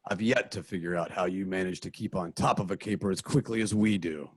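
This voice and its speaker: A man playing Batman in a home-recorded radio play, with deliberate, mock-serious deductions. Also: low voice, slightly rough, dry recording. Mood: deadpan. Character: Batman, grave adult male crime-fighter, smug deductive. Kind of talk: amateur radio play reading. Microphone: home mic, dry room.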